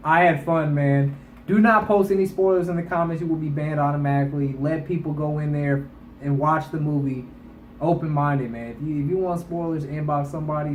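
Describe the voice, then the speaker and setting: Echoey, higher-pitched man, casual vlogger. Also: lo-fi sound. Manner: emphatic, colloquial, repeats himself for emphasis. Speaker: movie review vlogger. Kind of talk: casual movie review to online viewers. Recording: echoey room with faint background noise.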